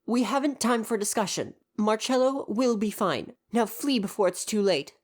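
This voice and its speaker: A woman's voice: fairly high pitch, lively intonation, moderate pace. A woman playing a devoted royal butler in an ASMR roleplay, speaking in a formal, deferential and precise way with parental care. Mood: alarmed, urgent.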